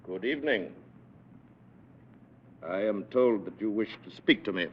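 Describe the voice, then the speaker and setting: An actor playing the cold, shrewd president of a secret club, in an old-time radio drama. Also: male voice, lowish voice, a little slow, husky. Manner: cold, testing, abrupt. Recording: dull old radio recording.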